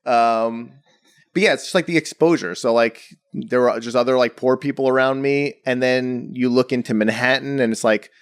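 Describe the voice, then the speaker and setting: A man's voice: mid-range voice, moderate pace, smooth, no room sound, clean studio sound. A young man from the Bronx, a painter chatting casually in an interview and filling his talk with "like" and "you know". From the Bronx, New York. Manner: rambling, heavy on "like" and "you know". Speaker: painter guest.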